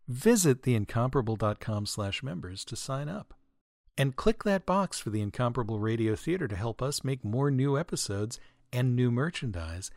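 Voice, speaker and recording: Guy hosting comedy podcast, reading mail w asides, tongue in cheek, really animated, dry sound. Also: mid-range voice, dry recording. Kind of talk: scripted comic narration with asides.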